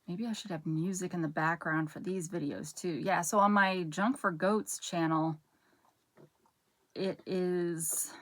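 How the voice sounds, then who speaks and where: A young woman with a low, soothing voice talks her way through an auction haul in a loose, rambling chat. She stops mid-sentence, circles back and muses aloud as she sorts each item. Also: steady tone, measured pace, a bit bright. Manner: rambling, chatty, self-interrupting while sorting items. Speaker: channel host. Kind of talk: casual haul vlog chat.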